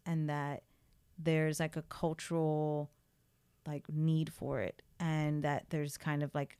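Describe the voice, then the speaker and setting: Woman from Miami, 30s, rambling casual guest, lowish pitch. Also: a little mellow, smooth, no room sound. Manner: chatty, lots of "like" fillers. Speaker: event producer guest. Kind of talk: casual interview chat.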